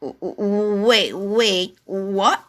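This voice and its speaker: A woman's voice: mid-range voice, very slow. A woman acting as an affectionate, teasing young sweetheart in a radio drama, with a Filipino accent. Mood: playful. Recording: music playing behind the voice.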